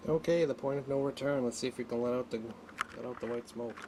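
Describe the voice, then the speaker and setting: A man giving an unscripted hands-on tutorial, speaking haltingly as he thinks aloud while working, as a hobbyist would. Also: mid-range voice. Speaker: hobbyist demonstrating an electronics repair. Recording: noticeable background noise.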